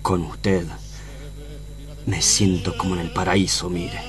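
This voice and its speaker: A man's voice: low-pitched, flat delivery, slow pace. An actor playing a proud, lovesick tough guy in a tango radio play, with an Argentine accent and poetic, dramatic delivery. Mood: pleading. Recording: music behind the voice.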